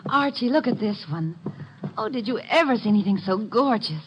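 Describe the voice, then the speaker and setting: A woman's voice: a little high, expressive, noisy background, natural voice. An actress playing a coy, gushing young woman writer in 1950s radio drama style. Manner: coquettish, gushing, hesitant pauses. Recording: dull vintage radio recording.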